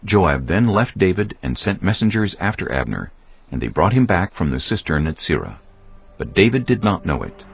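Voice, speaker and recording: Very deep, gravelly male scripture narrator. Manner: measured, solemn storytelling. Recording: slightly dull sound, music underneath. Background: background music.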